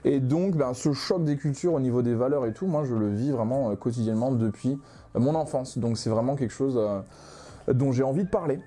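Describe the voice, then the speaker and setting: A man's voice: mid-range voice, brisk. A young man who grew up in Ghana, a manga author talking casually as a podcast guest, with lots of hesitations and restarts. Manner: relaxed, many hesitations and restarts.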